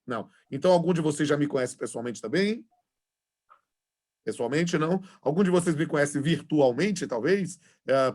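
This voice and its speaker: A man in his forties with a Bahian accent, a law professor chatting informally to introduce himself to an online class over a video call, with many fillers. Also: fairly high pitch. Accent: Bahian Portuguese. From Salvador, Bahia, Brazil. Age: 40s. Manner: chatty, filler-heavy, friendly. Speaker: law professor and labor judge.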